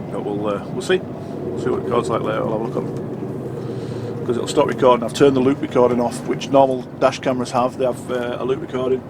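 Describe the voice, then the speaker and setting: A man's voice: mid-range voice, fairly even intonation, normal speed, gravelly, pauses often. A middle-aged man with a blocked nose, a truck driver chatting casually and rambling to camera from his cab while driving. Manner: rambling, chatty, self-correcting. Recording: loud road noise behind.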